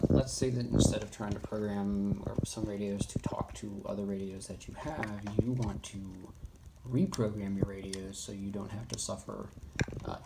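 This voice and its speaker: A man giving an unscripted how-to tutorial in a halting way, thinking aloud as he explains each step. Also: lowish voice, gravelly. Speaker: instructor in a radio how-to tutorial. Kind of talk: unscripted screen-demo tutorial. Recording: noisy, keyboard and mouse clicks. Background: noise, typing and clicking.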